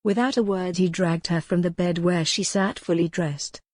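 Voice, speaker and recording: A woman's voice: lowish voice, steady tone, normal speed, darkish tone, no echo, clean studio sound. A woman reading dramatic fiction as an audiobook narrator, in a scripted storytelling style.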